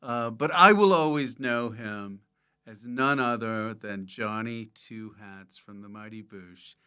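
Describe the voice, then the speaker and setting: A man hosting a casual comedy podcast, joking playfully and given to sudden loud exclamations. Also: lowish voice, slow pace, smooth. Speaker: podcast host.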